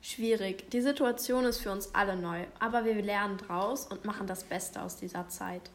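A woman in her fifties, a pastor, speaking calmly and in a measured way as a guest in a discussion. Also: mid-range voice. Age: 50s.

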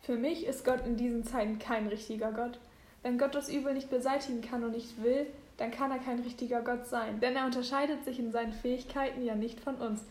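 A woman's voice: a little high, steady tone, a little fast, a little mellow, reverberant. A nineteen-year-old woman who volunteers in a hospital, speaking earnestly and asking questions.

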